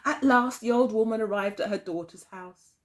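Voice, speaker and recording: A woman's voice: on the high side, normal speed, a little hiss or hum. A woman teacher telling a story expressively to young children, phrasing carefully and putting questions to them. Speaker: early years teacher, storyteller.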